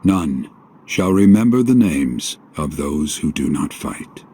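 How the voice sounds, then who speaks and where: A man's voice: extremely low pitch, expressive, takes it slowly, warm tone, breathy edge, exaggerated voice. A man playing an enigmatic, knowing guide in a video game, with formal archaic diction and a theatrical, portentous delivery. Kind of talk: dramatic video game cutscene acting. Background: game music.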